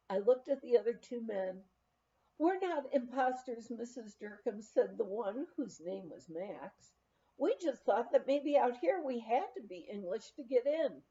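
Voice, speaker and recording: A woman reading a memoir aloud as scripted narration, in a measured, expressive storytelling manner. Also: fairly high pitch. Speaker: audiobook reader. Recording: dull, lo-fi recording.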